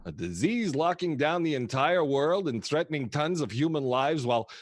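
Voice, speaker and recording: A man acts a kooky elderly grandfather in a comic play. His fairly high voice swings widely as he rambles, mutters and declaims with doddering affection. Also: expressive, moderate pace, no echo. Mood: storytelling, wry. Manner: rambling, theatrical, hesitant muttering with sighs. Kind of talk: comic stage monologue and dialogue.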